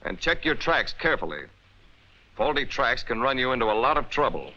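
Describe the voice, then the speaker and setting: A man with an American accent delivers scripted narration for a 1940s military training film. His voice is very bright and forceful, with a medium pitch. He instructs emphatically in clipped directives, heard through a dull, band-limited old film soundtrack. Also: sing-song, brisk.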